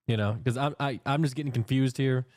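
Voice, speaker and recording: A man's voice: medium pitch, a little mellow, no room sound. Stumbling man reacting on a dry stream. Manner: halting, stumbling questions, addresses chat. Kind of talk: casual livestream reaction.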